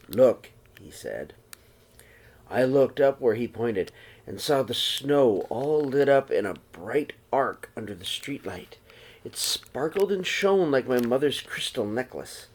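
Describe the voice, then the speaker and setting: A man reading a children's picture book aloud like a teacher, with expressive, dramatized storytelling delivery. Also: medium pitch. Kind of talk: read-aloud storybook narration.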